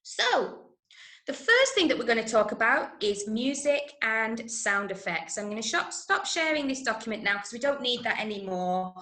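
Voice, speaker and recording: A woman from Manchester with an English accent teaching an online workshop to school pupils in a clear, emphatic, instructive manner, often checking they understand. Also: on the high side, big pitch swings, normal speed, bright, forceful tone, reverberant. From Manchester, England. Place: indoors, online video call.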